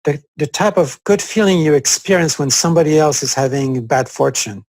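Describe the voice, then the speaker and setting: A man's voice: fairly high pitch, normal speed, darkish tone, no room sound. A man who grew up in France, a native French speaker, speaking thoughtfully and hesitantly in a conversational discussion, with many fillers. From France (Corsica). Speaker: co-host.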